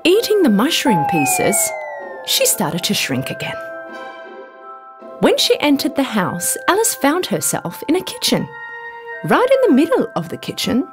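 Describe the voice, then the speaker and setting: A woman reading a scripted bedtime story for children in an expressive, deliberate storytelling manner. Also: on the high side. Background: background music.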